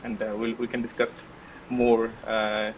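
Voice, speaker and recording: A man's voice: mid-range voice, monotone, a little slow, slight background noise, low-quality recording. A man from Bangladesh, a podcast guest, answering interview questions in a casual, hesitant way with many fillers and restarts. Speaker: podcast guest, software engineer.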